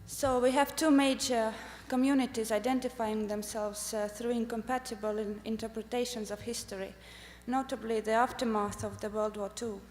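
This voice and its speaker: A woman from Estonia, a native Estonian speaker, lectures from a podium at a conference. Her voice sits a little high and her delivery is careful and halting, broken by frequent fillers. The sound carries a long hall reverb over a faint hum. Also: fairly even intonation, a little mellow. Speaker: artist presenting at a summit. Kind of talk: conference presentation with slides.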